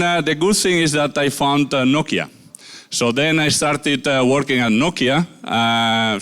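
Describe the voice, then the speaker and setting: A middle-aged man from Spain with a Spanish accent, giving a conference talk in a halting way with many filler pauses. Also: mid-range voice, a bit bright, clear, resonant tone. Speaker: conference speaker.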